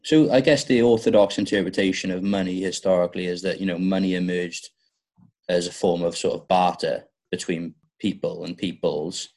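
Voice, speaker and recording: Deep-voiced man in casual discussion. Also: fairly even intonation, moderate pace, a little mellow.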